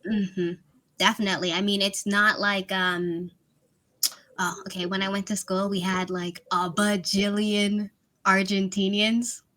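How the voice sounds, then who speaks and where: A woman's voice: medium pitch, flat delivery, a little slow, bright, forceful tone. A woman tutoring over a video call in a casual, chatty way, laughing often and filling with "like" and "you know". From Miami, USA. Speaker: English tutor.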